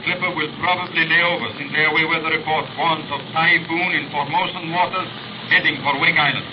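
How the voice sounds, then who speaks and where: A man's voice: a little high, steady tone, brisk, lightly acted. A man acting a terse, menacing crime boss who gives clipped orders in a 1930s radio serial. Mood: cold, commanding. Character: commanding male criminal mastermind, terse and menacing. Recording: noisy, muffled vintage radio recording.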